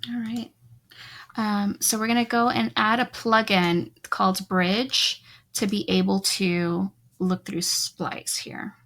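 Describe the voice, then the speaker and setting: A woman's voice: medium pitch, level tone, slow, a bit bright. A woman hosting a casual show, chatting with her guest while she builds a beat, upbeat and full of hype. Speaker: show host and beat producer. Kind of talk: casual hosted chat while making a beat.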